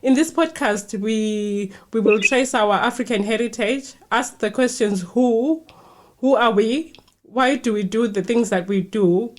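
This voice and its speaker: A woman from Zimbabwe, hosting a podcast and reading her introduction in a clear, measured way. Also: fairly high pitch, a little mellow. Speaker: podcast host. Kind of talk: scripted podcast intro, then interviewing.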